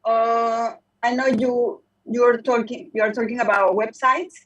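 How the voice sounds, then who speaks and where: A middle-aged woman with a Spanish accent, Spanish being her first language, calling in from her car and speaking haltingly as she searches for words. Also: a little high, fairly even intonation, fairly bright tone, dull audio. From Spanish-speaking country. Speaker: caller. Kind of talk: live call-in question. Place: in a car while driving. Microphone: phone on a video call. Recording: echoey, distant call audio.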